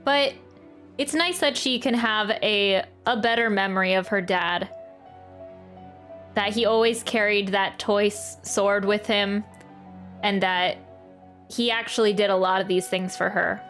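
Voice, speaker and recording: A woman comments live on a game she is playing. Her pitch is average and her delivery casual and thoughtful. She gropes for words now and then, with game music in the background. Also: measured pace, long pauses, a bit bright. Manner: thoughtful, hesitant, searching for words. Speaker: streamer reacting to a game.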